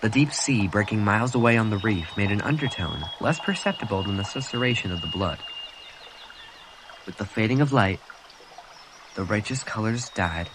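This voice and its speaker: Soft-spoken man reading aloud. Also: fairly low pitch, noisy background. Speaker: reader. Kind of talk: reading a graphic novel aloud.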